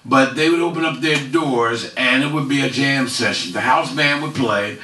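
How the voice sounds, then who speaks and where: A man's voice: normal speed, pushed and bright, a little hiss or hum. Chatty man hosting from a roomy living room. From Philadelphia area, USA. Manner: chatty, folksy, pitching local events. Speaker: community show host. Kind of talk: casual commentary to camera. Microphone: headset mic, far camera. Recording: roomy, slightly distant sound.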